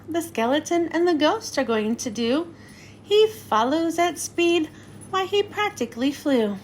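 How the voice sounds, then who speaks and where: A woman reading a rhyming storybook to children in an expressive, sing-song way. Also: high voice, slight background noise. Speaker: children's read-aloud host. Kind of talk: scripted storybook narration for children.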